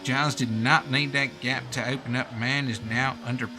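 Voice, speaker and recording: A man's voice: medium pitch, steady tone, normal speed. A man doing live sim-racing commentary in a put-on American accent, informal and slangy, with casual asides. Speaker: race commentator and streamer.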